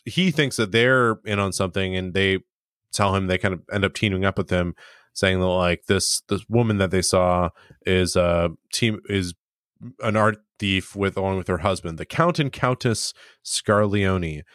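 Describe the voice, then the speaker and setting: A man with a lowish voice recaps an episode for a podcast in a loose, rambling way. He chuckles often and leans on fillers. The sound is dry and close. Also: moderate pace, a bit bright, clear, resonant tone, dry recording. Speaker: podcast host.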